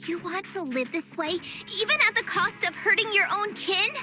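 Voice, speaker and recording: A small young tiger girl with a high, very lively voice. She is a righteous anime game heroine, proud, earnest and declamatory. Music is clearly heard behind her. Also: normal speed. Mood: earnest defiance. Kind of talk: anime-style video game voice acting.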